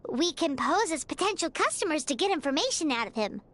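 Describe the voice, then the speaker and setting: A woman voicing a tiny, excitable girlish companion who talks about herself in the third person. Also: sits high, brisk. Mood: eager.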